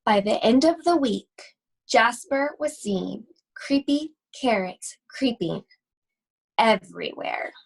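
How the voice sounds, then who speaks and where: Casting: female storybook reader, higher-pitched, unhurried, expressive and suspenseful delivery for young listeners. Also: vocal fry, breathy edge, low-quality recording. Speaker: school library read-aloud host. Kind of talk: expressive children's storybook read-aloud.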